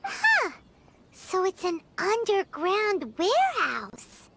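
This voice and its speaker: A woman's voice: wildly swooping pitch, slow, heavy background noise, full character voice. A woman voicing a tiny, chatty, fairy-like companion girl in a video game, talking about herself in the third person. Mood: curious. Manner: high, bouncy, refers to herself in third person. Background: loud game music.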